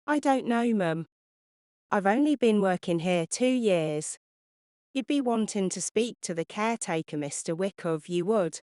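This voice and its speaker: A woman's voice: medium pitch, animated, a little slow, a little mellow, no room sound, studio quality, natural voice. A woman playing a helpful, deferential young household servant in an audio drama, with folksy turns of phrase.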